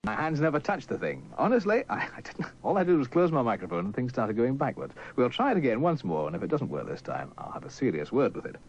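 A man's voice: mid-range voice, huge pitch swings, fast, few pauses. A man presenting live radio with casual patter. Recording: dull old radio tape.

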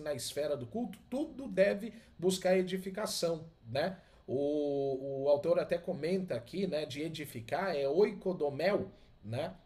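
A man's voice: on the high side, darkish tone. A middle-aged man with a Brazilian accent, teaching a Bible lesson in an emphatic, didactic way that repeats key words. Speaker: Sunday school teacher and pastor. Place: small indoor study with bookshelves.

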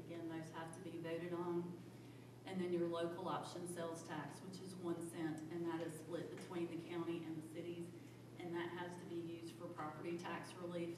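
A woman's voice: lowish voice, noisy background. A woman, a county finance director, walks through tax figures at a public hearing in a plain, methodical way, with frequent "um" fillers. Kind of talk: budget presentation at public hearing. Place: county commission meeting room. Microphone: room recording from far off. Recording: echoey hall recorded from a distance.

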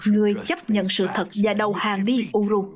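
A woman dubbing every character in a cartoon herself, reading the lines in quick, lively turns. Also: medium pitch. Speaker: dubbing narrator voicing all cartoon characters. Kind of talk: single-voice cartoon dub. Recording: dub over loud music.

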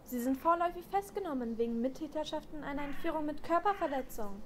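A woman playing a brisk, tough, cheeky young police trainee in a radio play. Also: sits high, lively intonation, a little hiss or hum. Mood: commanding, firm. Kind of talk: amateur radio play acting.

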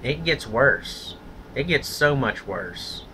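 A man's voice: mid-range voice, expressive, slow pace, pushed and bright, a little hiss or hum. A man delivering a blunt, profane, contemptuous opinion rant as a solo vlogger.

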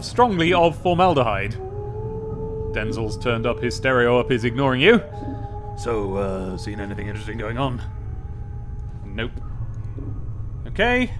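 A British man giving playful, wry, sardonic video game commentary, chatting casually and reading text aloud dramatically. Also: mid-range voice. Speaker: let's play host.

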